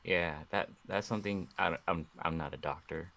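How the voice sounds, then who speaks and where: A man's voice: low-pitched, a little fast, fairly bright tone. A man who makes fitness videos, chatting modestly and a little hesitantly on a livestream. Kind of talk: casual livestream chat.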